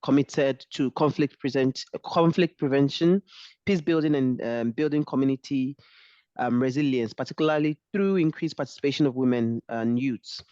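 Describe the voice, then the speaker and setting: Halting man introducing a speaker. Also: medium pitch, darkish tone, smooth, no echo. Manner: halting, many fillers. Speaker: moderator. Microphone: video call. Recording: dull dry call audio.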